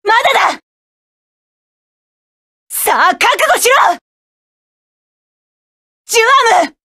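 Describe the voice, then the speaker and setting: Fierce, very high-pitched pilot. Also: female voice, very animated, slow pace, no room sound, clean studio sound, full character voice. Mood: fierce, commanding. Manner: commanding, combative battle shouts. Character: fierce proud young woman mecha pilot. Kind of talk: game battle voice lines.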